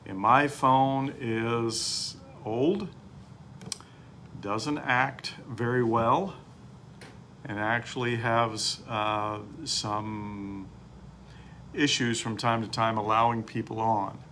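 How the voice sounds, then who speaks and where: A middle-aged man talking casually on a phone livestream from home, telling his story haltingly with many fillers and restarts. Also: medium pitch, steady tone, bright, forceful tone, a little hiss or hum.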